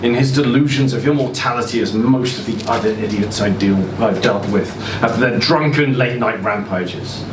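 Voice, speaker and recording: A man giving an expressive live reading of a first-person story, now and then stumbling over words. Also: mid-range voice, normal speed, hardly any pauses. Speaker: reader performing a short story at a live literary event. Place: indoor social venue, live event. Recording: roomy, distant mic, clear ventilation noise.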